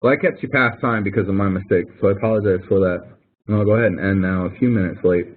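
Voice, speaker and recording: A man's voice: low voice, fast talker, slightly rough. A man working as a college professor, explaining things step by step in an informal online tutoring session, with emphatic repetition. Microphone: online call microphone. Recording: thin phone-like online conference audio.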